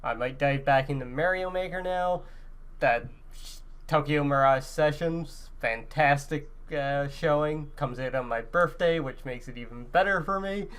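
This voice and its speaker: A man's voice: on the high side, unhurried, fairly bright tone, clear, resonant tone. A man co-hosting a podcast, chatting casually about gaming news. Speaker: podcast co-host.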